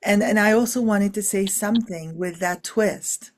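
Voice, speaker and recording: A woman's voice: mid-range voice, normal speed. A middle-aged woman, a filmmaker being interviewed, talking expressively about family with many fillers and restarts. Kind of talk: casual interview talk.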